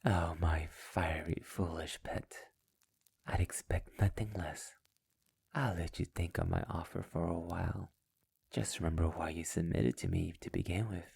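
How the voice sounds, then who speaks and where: A man playing a sadistic, possessive immortal vampire master in an intimate ASMR roleplay, taunting theatrically in a breathy, gravelly voice that often nears a whisper. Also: sits low, fairly even intonation, a little slow, darkish tone, full character voice. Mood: gloating, seductive.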